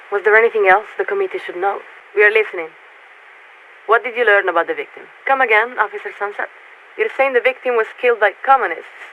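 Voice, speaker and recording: Tinny radio voice, formal female warship officer. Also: mid-range voice. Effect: radio transmission filter. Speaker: military radio operator. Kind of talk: scripted video game voice acting. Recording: narrow, tinny radio link with static.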